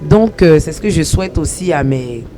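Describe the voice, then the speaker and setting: Congolese actress being interviewed, earnest and measured, warm mellow voice. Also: female voice, low-pitched, lively intonation, slight background noise. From Republic of the Congo. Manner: earnest, measured, speaks about ambition with conviction. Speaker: actress and comedian. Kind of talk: interview answers.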